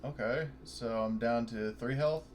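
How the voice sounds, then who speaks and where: A player at a tabletop roleplaying game, reacting loudly, swearing and laughing at the dice. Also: medium pitch, unhurried, a little hiss or hum. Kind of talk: casual chat at a game table.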